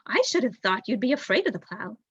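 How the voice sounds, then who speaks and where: A woman's voice: fairly high pitch, sing-song, brisk, husky, dry recording, highs cut off. A woman voicing a chatty, concerned lady mouse neighbour in a storybook, persistent and exclaiming.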